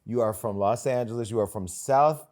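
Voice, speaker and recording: A man hosting a casual talk show, teasing his guest with mock indignation and steady agreeing murmurs. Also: medium pitch, moderate pace. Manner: playful teasing, mock-indignant, lots of backchannel. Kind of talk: casual interview chat.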